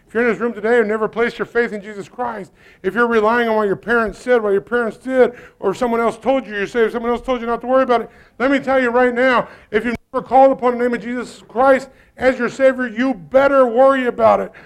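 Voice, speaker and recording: Gravelly high-voiced man preaching a sermon. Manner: swings between loud urgent peaks and low quiet asides. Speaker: pastor.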